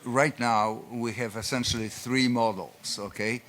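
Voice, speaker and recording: Man explaining calmly with fillers. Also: medium pitch, measured pace. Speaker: presenter answering audience questions. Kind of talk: conference Q&A talk.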